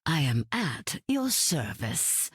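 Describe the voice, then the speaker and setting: A slow, husky, stately heroine. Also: female voice, sits low, very animated, no echo, clean studio sound, heavily acted. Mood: calm, wry. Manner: measured, formal. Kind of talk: video game character voice lines.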